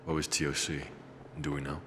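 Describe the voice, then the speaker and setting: A man's voice: rumbling low, flat delivery, a little fast, noisy background. An actor playing a wary, methodical male police detective in an audio drama, grave and blunt. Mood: businesslike.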